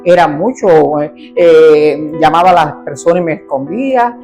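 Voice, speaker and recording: A middle-aged Cuban woman with a lower voice tells her life story as an interview guest. She is animated and acts out her family's voices as she goes. Faint guitar music plays behind her. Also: unhurried. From Cuba.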